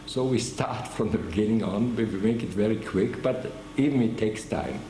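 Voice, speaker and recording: An older man giving an oral history interview, speaking haltingly with many restarts and fillers. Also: lowish voice, warm tone, reverberant, slight background noise, low-quality recording. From Germany. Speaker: veteran interviewee.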